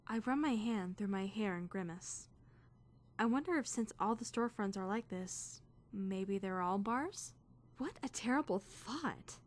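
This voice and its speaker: A woman voicing an earnest, indignant teenage girl student who is rigidly rule-bound, speaking formally and emphatically in a dramatic visual novel fan dub. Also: fairly high pitch. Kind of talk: dramatic first-person visual novel narration and dialogue.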